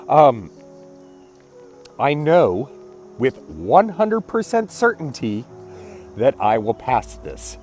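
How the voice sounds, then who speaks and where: A man, congested and clearing his throat, chatting casually in a daily vlog and apologizing often. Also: medium pitch, sing-song, extremely slow, pauses often, darkish tone, soft background music. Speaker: vlogger.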